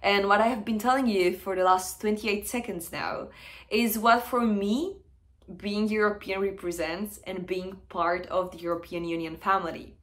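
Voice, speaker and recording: A woman's voice: medium pitch, fairly even intonation, moderate pace, a bit bright. A young woman from Romania with a Romanian accent, speaking English in a friendly, chatty webcam message from a small room. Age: young adult. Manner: friendly, chatty, lightly playful. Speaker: competition entrant. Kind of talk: casual video message.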